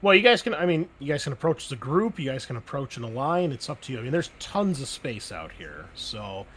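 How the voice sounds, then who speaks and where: A laid-back man running a tabletop game over an online call, describing the scene with plenty of ums and restarts. His voice sits at a middle pitch, with a faint hiss behind it. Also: brisk. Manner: relaxed, explaining with many fillers and restarts. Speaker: game master.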